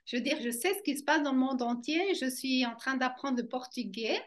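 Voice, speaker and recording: Woman, slightly high voice, animated, conversational personal account. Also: a little fast. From German-speaking Switzerland. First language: German. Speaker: interview guest, fascia trainer.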